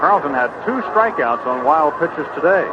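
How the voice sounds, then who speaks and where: Dull noisy radio recording, male play-by-play announcer, higher-pitched voice, live baseball commentary. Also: animated, normal speed.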